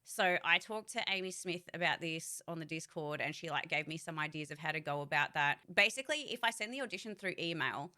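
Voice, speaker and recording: Young woman streamer, bright and forceful, blunt and chatty, swears freely. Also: deep voice, brisk, hardly any pauses. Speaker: voice actor and streamer. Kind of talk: casual livestream chat to viewers.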